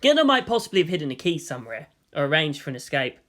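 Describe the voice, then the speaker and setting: A woman reading fan fiction aloud as an expressive storyteller, in audiobook-style narration. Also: low-pitched, fast talker.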